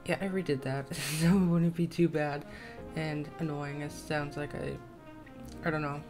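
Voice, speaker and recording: A woman's voice: deep voice, fairly even intonation, a little slow. A young woman with a slightly scratchy voice giving casual, tentative, rambling voiceover commentary on a game build. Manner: rambling, self-conscious, tentative. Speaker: gaming video creator. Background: soft plucked guitar music.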